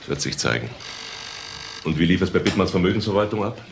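A senior investigator with an extremely low voice. He sounds sober, measured and sceptical, in crime radio drama style, with faint noise behind. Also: lively intonation, vocal fry. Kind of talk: German crime radio play acting.

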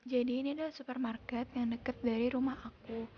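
A young woman from Indonesia narrating her daily vlog as a calm, unhurried voice-over, recorded separately in a quiet room. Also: a little high, dull audio, slight background noise. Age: young adult. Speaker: vlogger. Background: soft background music.

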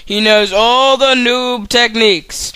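A man's voice: very high voice, steady tone, takes it slowly, sharp tone, smooth. A young adult man giving crude, sweary comic scripted narration.

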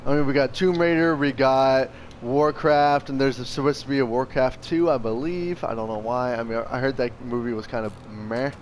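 A man's voice: mid-range voice, fairly even intonation, moderate pace, hardly any pauses, slight background noise. A man hosting a gaming channel, chatting casually and enthusiastically with plenty of slang and swearing. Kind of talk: casual chat over gameplay.

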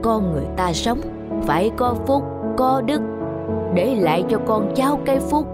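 A woman voicing a grave, wise old father who questions and moralizes in a measured way in an animated folk tale. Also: fairly high pitch, loud music behind the voice, natural voice. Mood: grave reproach.

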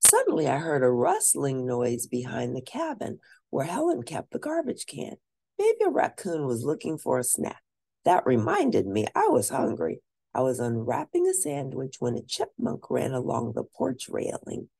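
A woman reading a children's book aloud as an expressive storyteller, stressing and stretching words for drama. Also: very deep voice, creaky, husky. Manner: animated, dramatic emphasis with drawn-out words.

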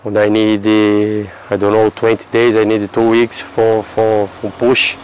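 A man in his thirties from Brazil, answering interview questions in halting English with a Brazilian Portuguese accent and many restarts. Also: fairly low pitch, completely flat delivery, a little hiss or hum, rough recording. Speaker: football player. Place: outdoor terrace by a yellow building.

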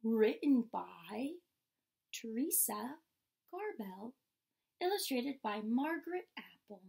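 A young adult woman reading a picture book aloud like a teacher, in a measured, instructional way. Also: on the high side, big pitch swings, gravelly, a little mellow, slightly rough. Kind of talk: picture-book read-aloud with short explanations. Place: indoor room with painted mural wall.

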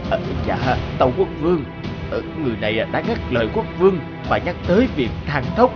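A man voicing a fearful royal minister who hesitates and stammers, in an animated fairy tale. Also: mid-range voice, a little fast, lo-fi sound, loud music behind the voice, natural voice. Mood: nervous.

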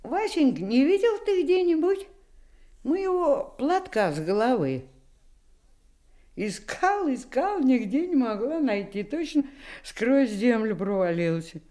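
Kindly fussing nanny, folksy, lively intonation, unhurried, radio drama. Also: female voice, sits high, exaggerated voice. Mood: affectionate fussing. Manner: folksy, affectionate, chatty. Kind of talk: Soviet radio drama acting.

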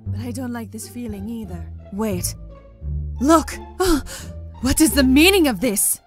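A woman voicing an anxious, regal female dragon in an animated comic dub, speaking formally and dramatically. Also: a little high, measured pace. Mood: uneasy. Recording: music behind the voice.

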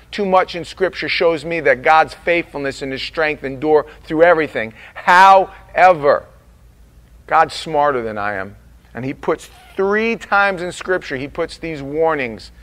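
A man preaching a sermon as pastor, dropping quiet and slow in prayer and rising loud and emphatic on his warnings. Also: high voice.

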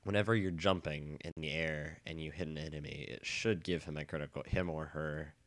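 Young guy w a bad cold, super stuffy nose, low voice, chatty and easily excited, dry dorm room mic. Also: a little fast, no room sound. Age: young adult. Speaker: let's play gamer. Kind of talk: casual gameplay commentary.

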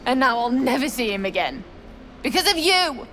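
A very high, anguished young widow in a fantasy game, over noticeable ambient noise. She draws her words out in bitter, pleading accusation, her pitch moving freely. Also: big pitch swings, normal speed, a bit bright. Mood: bitter anger. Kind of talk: video game character acting.

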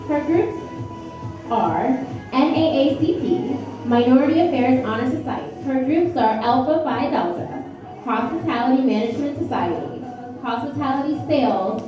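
A woman announcing pageant contestants, rattling off their hobbies in quick lists in a large hotel ballroom. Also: high-pitched. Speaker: pageant emcee. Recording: echoey ballroom, dull sound, music.

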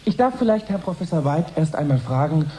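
An actor playing a courteous, formal presenter hosting a live science broadcast in a radio drama. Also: male voice, a little high, expressive, a little fast, dark tone, breathy edge. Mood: polite, composed. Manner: polite, formal, orderly.

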